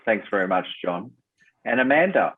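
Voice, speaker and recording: A man's voice: fairly low pitch, expressive, moderate pace, breathy edge. A man chairing an online panel discussion, moderating and handing over to speakers. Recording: tinny phone-like online call audio.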